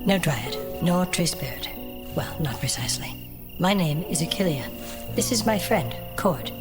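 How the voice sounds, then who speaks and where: Plucky young adventurer girl, kinda low voice, kind but firm, fantasy audio drama w music behind. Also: expressive, normal speed. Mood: friendly, amused.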